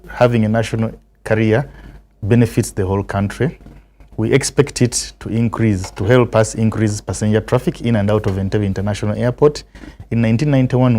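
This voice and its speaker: A man with a Ugandan accent giving formal, measured explanations as an official being interviewed. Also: fairly low pitch, warm tone, slight background noise. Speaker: aviation authority spokesman, interview guest.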